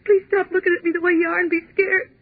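A woman's voice: very high-pitched, little pitch movement, quick pace, no echo. An actress playing a terrified young office woman, pleading frantically, in 1940s radio acting style. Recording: tinny 1940s radio broadcast.

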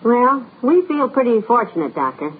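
Sensible housewife in an old radio comedy, teasing, bouncy voice, tinny faint hiss. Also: female voice, a little high, very animated, normal speed. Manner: warm teasing wifely banter. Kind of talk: 1940s radio comedy acting.

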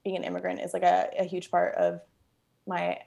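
A woman's voice: on the low side, monotone, moderate pace, gravelly, warm tone, lo-fi sound. A young woman born in Bangkok and raised in Los Angeles, chatting casually as an interview guest at home, full of fillers and easy laughter. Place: at home, kitchen nearby.

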